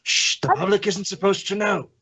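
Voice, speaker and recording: A man's voice: high voice, moderate pace, pushed and bright, breathy edge. A man from the American Northwest with an American accent, co-hosting a podcast in playful, joking casual chat. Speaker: podcast co-host.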